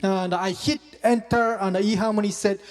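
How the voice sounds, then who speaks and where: A young man does a stand-up set with a high, light voice. He runs on in a halting, self-deprecating way, with plenty of fillers and restarts. The club's long reverb rings around each line. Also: steady tone, over loud music. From Japan. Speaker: stand-up comedian.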